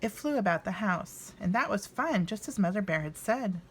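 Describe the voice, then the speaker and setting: A woman narrating a children's storybook expressively, with dramatic pauses and emphasis. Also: medium pitch, animated, husky. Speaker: storybook reader.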